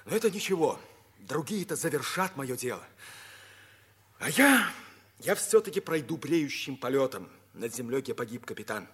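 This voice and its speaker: An actor playing an ardent, commanding young pilot captain with a husky voice in a 1950s radio play. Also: male voice, mid-range voice, very animated, moderate pace, fairly bright tone. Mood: bitter, resolute.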